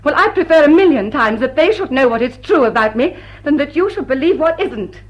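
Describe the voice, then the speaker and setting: High-voiced, proud, witty society thief. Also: female voice, expressive, brisk. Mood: defiant. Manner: arch, theatrical, sharp-tongued. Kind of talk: classic stage drama acting.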